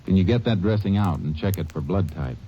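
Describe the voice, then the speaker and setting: Terse deep-voiced ranger. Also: male voice, animated, a little fast, dark tone, slight background noise. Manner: terse, clipped, no-nonsense. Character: tough, level-headed Texas Ranger. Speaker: lead ranger and narrator. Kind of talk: 1950s radio drama acting and narration. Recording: dull old radio recording with music.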